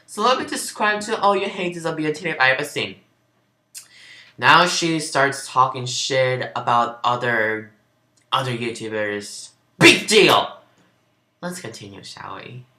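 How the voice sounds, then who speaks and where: A woman's voice: deep voice, sharp tone. A woman giving a mocking amateur dramatic reading of fan fiction, breaking off into sarcastic asides. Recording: roomy, echoing home recording.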